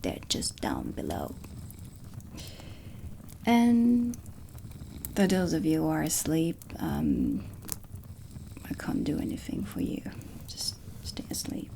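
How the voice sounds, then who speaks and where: A woman playing a stern, dry dark witch teacher in a soft-spoken ASMR roleplay, deliberate and often slipping toward a whisper. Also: sits low, huge pitch swings, creaky, heavily acted. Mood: stern, instructive, then dry, disdainful. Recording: close voice under prominent ambient music. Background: ambient music.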